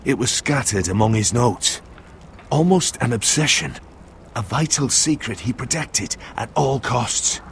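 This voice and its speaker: An actor playing a grave, devoted older uncle in a medieval fantasy game, speaking in a solemn, formal, measured way. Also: male voice, medium pitch, lively intonation, slight background noise. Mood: solemn, secretive. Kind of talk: dramatic fantasy game acting.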